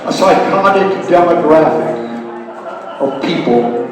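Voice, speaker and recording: A man reading his own poetry aloud at a live reading, in a deliberate, declamatory way with frequent pauses. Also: a little high, animated. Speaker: poet reading own work. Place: indoor gallery reading. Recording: very echoey hall, distant mic, music behind. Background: music.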